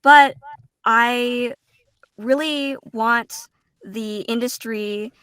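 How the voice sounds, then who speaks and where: A woman in a casual roundtable talk as a voice actor, phrasing her thoughts deliberately and a little haltingly. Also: fairly high pitch, fairly bright tone, clear, resonant tone, dry recording. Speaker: voice actor, panel guest.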